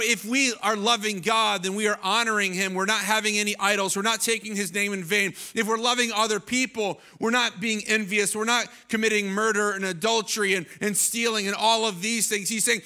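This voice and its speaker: A man in his thirties preaching a sermon on a church stage into a handheld mic, animated and often restarting his phrases. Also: sits high. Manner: animated, gestures while preaching, many restarts. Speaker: pastor. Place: large church stage, indoors. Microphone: handheld microphone.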